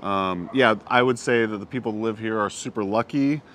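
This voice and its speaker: A low-voiced man in his thirties talks in a loose, easygoing way, with plenty of "uh" and "yeah" as he thinks aloud. He is recorded outside by a camera a few feet away. A faint outdoor hum sits under his voice. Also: fairly quick. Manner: relaxed, lots of "uh" and "yeah". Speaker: vlog host. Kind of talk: casual travel chat. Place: outdoors on a hillside above a small town.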